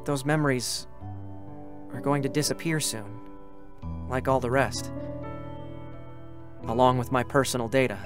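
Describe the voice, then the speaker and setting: A man's voice: medium pitch, steady tone, a little slow, pauses often, over music, close to an everyday voice. An actor playing an earnest, wistful young male android soldier in a dramatic reading, chatty and sentimental with boyish hesitations. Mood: bittersweet. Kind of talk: dramatic scripted reading with first-person narration.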